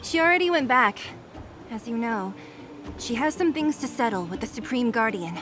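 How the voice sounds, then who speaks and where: A woman's voice: on the high side, lively intonation, normal speed, fairly bright tone, a little hiss or hum. A woman playing a brusque, guarded young female fighter in a video game, speaking in a curt way. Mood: guarded, earnest.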